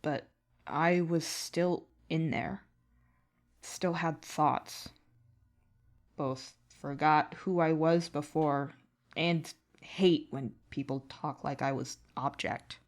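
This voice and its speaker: A woman voicing a weary, bitter male alien troll who speaks in halting, terse fragments. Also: low voice, steady tone.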